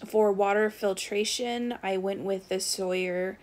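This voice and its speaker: A woman narrating a casual review of her thru-hiking gear, relaxed, with frequent fillers as she recommends items. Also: medium pitch, a little slow.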